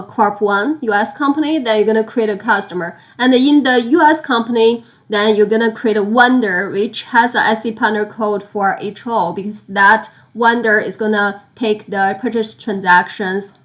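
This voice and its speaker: A woman teaching software in an unscripted screen-share tutorial, explaining step by step, often repeating and rephrasing herself. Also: mid-range voice. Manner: halting, repeats and rephrases phrases as she explains. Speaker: software instructor.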